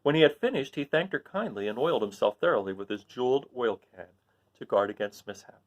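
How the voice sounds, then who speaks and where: Animated man reading a children's story. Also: medium pitch, quick pace. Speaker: storybook reader. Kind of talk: expressive children's story narration.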